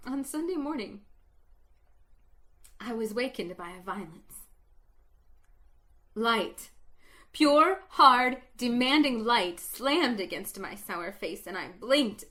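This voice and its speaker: A woman narrates a story in an unhurried, deliberate reading. Her voice is bright and forward, and her telling is expressive, swelling into fervent emotion. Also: on the high side. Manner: slow, expressive, building to exultant emotion.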